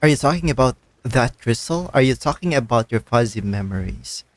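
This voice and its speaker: A man playing a calm, inquisitive companion character in a video game. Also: mid-range voice, a little fast, dry recording, close to an everyday voice. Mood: calm, inquiring.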